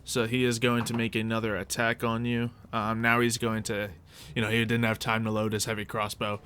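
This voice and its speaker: A man casually narrating and refereeing a tabletop roleplaying game as game master, improvising the story in an animated way. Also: lowish voice, a little fast, slight background noise.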